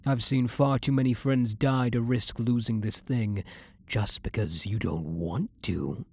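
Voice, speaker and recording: A man's voice: mid-range voice, steady tone, unhurried, vocal fry, a little mellow, no echo. A man playing a blunt, guilt-ridden vampire hunter who is secretly a vampire, in an intimate ASMR audio roleplay monologue. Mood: grim, determined.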